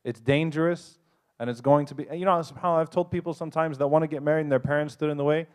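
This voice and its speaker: A man speaking on a panel at a convention, giving earnest, brisk advice to the audience. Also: fairly high pitch, fairly even intonation, a little mellow. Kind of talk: live advice talk to an audience. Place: convention hall.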